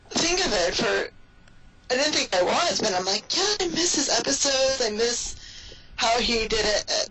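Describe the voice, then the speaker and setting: A woman's voice: a little high, sharp tone, a little hiss or hum, poor audio. A woman talking casually on a podcast, thinking aloud hesitantly and sitting away from the mic.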